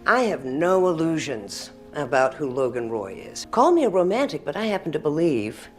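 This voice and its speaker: A patrician, self-righteous woman in a TV drama. She speaks in lofty, articulate lines with animated pitch over faint music. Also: normal speed. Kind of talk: screen drama acting.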